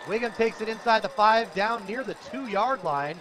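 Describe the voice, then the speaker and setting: An American man doing live radio play-by-play of a high school football game, in clipped, energetic present-tense calls. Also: normal speed. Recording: loud crowd behind outdoor broadcast. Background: stadium crowd.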